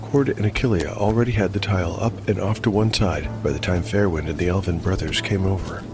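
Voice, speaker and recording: A man's voice: sits low, brisk, music in the background. A man giving scripted narration as the narrator and game master of a fantasy audio drama.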